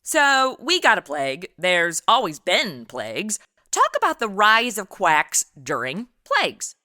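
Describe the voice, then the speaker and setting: A woman's voice: medium pitch, huge pitch swings, a little slow, bright, forceful tone, no echo, studio quality. A woman hosting a podcast in a breezy, slangy, casual interview style. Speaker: podcast host.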